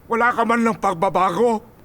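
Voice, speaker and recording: A man's voice: high-pitched, normal speed, fairly bright tone. A man playing an emotional, protective old grandfather in a Filipino radio drama, speaking emphatically and pleadingly. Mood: bitter reproach. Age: older. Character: frail, loving old grandfather, reproachful and protective.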